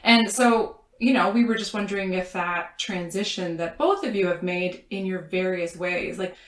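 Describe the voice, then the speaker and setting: A woman, co-hosting a casual interview in a reflective, roundabout way, recorded in a roomy space. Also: on the low side. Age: 40s. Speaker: podcast co-host, interviewer.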